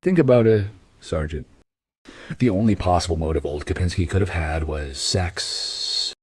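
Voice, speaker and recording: An actor playing a measured, probing detective inspector with a husky voice in a crime radio play. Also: male voice, low voice, no room sound. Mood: reflective. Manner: calm, measured questioning.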